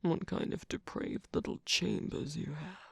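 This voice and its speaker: Performer acting out smug weary trickster villain, very husky and whispery, slow teasing drawl, mock-grand, close dry sound, ASMR game-character roleplay. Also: medium pitch, very animated, dry recording, full character voice. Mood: sly, curious. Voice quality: breathy, husky, creaky, often dropping into whisper.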